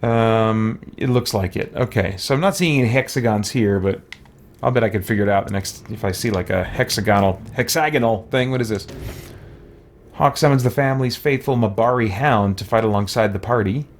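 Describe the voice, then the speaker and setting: A man's voice: lowish voice, brisk. A man giving casual let's play commentary, muttering to himself as he reads game text aloud and mulls it over.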